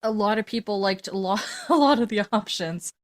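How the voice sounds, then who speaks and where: Female podcast host, medium pitch, informal presenting and casual chat, salty language. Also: a little fast.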